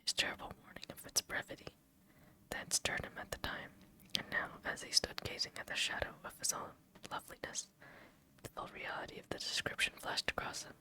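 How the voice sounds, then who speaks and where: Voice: brisk, rough recording. Whispering audiobook narrator. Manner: soft steady whisper.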